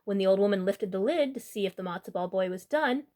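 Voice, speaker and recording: A woman's voice: mid-range voice, expressive, fast talker, a little mellow. A woman hosting a storytime, reading a children's picture book aloud as narrator.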